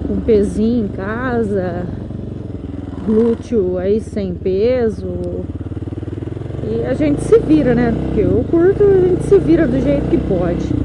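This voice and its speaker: Chatty Brazilian woman vlogging on a motorbike. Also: a little high. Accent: Brazilian Portuguese. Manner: chatty, says "galera" often. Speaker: motovlogger. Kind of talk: casual chat to viewers while riding. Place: riding a motorcycle on town streets, outdoors. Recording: loud road and wind noise. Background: traffic and motorcycle engine.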